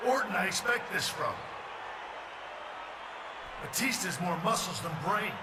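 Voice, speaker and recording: High, shouting wrestler over arena crowd. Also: male voice, expressive, unhurried. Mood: accusing. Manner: loud, taunting, projected. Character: indignant male wrestler confronting a former friend. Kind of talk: video game wrestling promo. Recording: distant, booming noisy arena with crowd.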